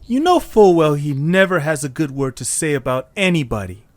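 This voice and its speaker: A man's voice: a little high, very animated, normal speed, no room sound. A man acting an earnest, encouraging young male character in a scripted audio story, speaking conversationally. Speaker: narrator and voice of the main character.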